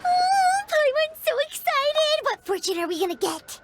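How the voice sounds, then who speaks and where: A woman voicing a tiny floating girl companion in a video game, bubbly and excitable, talking about herself in the third person. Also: very high voice, measured pace, heavy background noise, exaggerated voice. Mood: excited. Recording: game audio with music behind.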